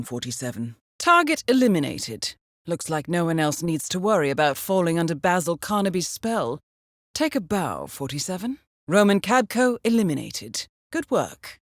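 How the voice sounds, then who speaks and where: Casting: game intelligence handler, female, composed and understated, slightly low pitch, precise formal briefings, very dry recording. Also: sing-song, dry recording. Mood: cool, efficient. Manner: measured, formal, coolly understated. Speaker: mission handler. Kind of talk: scripted video game voice acting, mission briefing.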